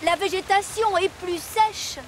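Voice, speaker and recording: Very high-pitched, helpful cartoon engine. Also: female voice, unhurried, heavy background noise. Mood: sensible. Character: helpful, sensible female fire engine. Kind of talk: children's cartoon dub.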